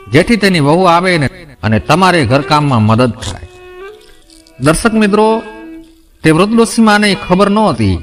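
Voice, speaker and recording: A man narrating a moral family story, speaking straight to his listeners and voicing the quoted characters in his own voice. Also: a little high. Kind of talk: narrating a moral folk tale.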